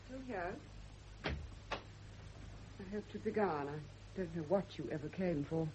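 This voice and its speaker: A woman's voice: fairly low pitch, unhurried, close to an everyday voice. An actress playing a bitter, defiant woman on edge in a British radio drama, clipped and cutting in manner. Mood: bitter, brooding. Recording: dull, noisy old radio drama recording.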